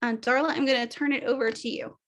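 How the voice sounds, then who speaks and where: A woman speaks on a webinar panel in a measured, advocating way, now and then asking "right?". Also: high-pitched, steady tone, fairly bright tone. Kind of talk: webinar presentation.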